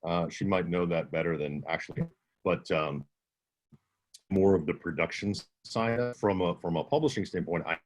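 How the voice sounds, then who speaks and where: A man's voice: low voice, normal speed, slight background noise. A man with a slight Southern accent, an audiobook narrator answering questions in a conference Q&A over a video call, talking casually and often restarting his sentences. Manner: conversational, with many restarts and self-corrections.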